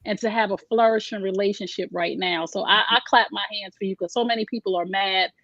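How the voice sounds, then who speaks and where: A woman's voice: mid-range voice, fairly even intonation, fairly quick, a bit bright, no echo, lo-fi sound. A woman hosting a talk show, encouraging and emphatic toward her guests. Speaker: host.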